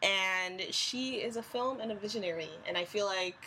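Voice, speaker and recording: A woman's voice: mid-range voice, moderate pace. A woman hosting a podcast in a studio, upbeat and steering the chat with questions. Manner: upbeat, gently steering the conversation. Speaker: podcast host. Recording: noisy studio recording.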